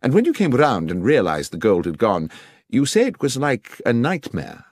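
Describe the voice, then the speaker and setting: Man acting audiobook detective, incisive, commanding, coolly sardonic, precise, very lively intonation, dry sound. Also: mid-range voice, moderate pace, no room sound, voice pushed up. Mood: probing. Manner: precise, emphatic, questioning. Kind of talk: dramatised audiobook dialogue.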